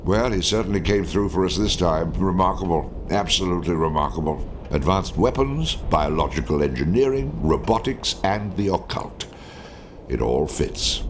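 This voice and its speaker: A male actor playing an older, measured, authoritative intelligence chief in a video game, speaking in a deliberate, musing way. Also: deep voice, lively intonation, noisy background. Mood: impressed, pondering. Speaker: commanding officer. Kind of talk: video game cutscene acting.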